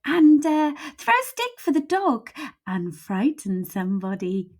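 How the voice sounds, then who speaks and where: A little boy skeleton from a picture book, played by a woman. He is high-voiced, eager and mischievous, with a childlike playfulness. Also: big pitch swings, a little slow, a little mellow, exaggerated voice. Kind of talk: storybook read-aloud.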